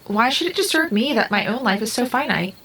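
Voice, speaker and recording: A woman's voice: on the high side, animated, a little fast, a bit bright, slight background noise. A woman playing a formal, literal artificial being in an audio drama, speaking in a precise, stilted, unemotional way. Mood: calm, detached.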